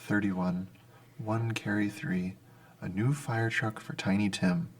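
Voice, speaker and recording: A man's voice: on the low side, fairly even intonation, takes it slowly. A man playing a kindly, dutiful clerk, deferential and apologetic, in old-time radio drama style. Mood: busy, murmuring. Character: meek, kindly, dutiful underpaid male clerk.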